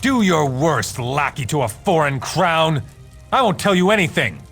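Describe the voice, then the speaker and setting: A captured guard in a fantasy game, defiant yet easily cowed. The voice is high and rough-edged, swinging widely in pitch over background music. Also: male voice, sing-song, unhurried, a bit bright, exaggerated voice. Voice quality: high, husky. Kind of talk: video game character dialogue.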